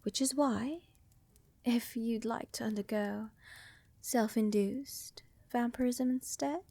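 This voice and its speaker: A woman playing a seductive, tender vampire countess in a soft, intimate roleplay ASMR style, often dropping to a whisper, with a teasing, assured manner and formal wording. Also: fairly high pitch, takes it slowly, dry recording. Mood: calm, ominous. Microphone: close microphone, dry.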